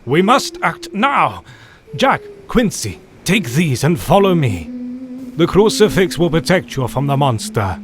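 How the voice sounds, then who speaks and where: An actor playing a grave, learned professor who hunts monsters, speaking formally and with command in a period audio drama. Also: male voice, a little high, sing-song, measured pace, noisy background. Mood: urgent, commanding. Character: grave, learned male professor and vampire hunter.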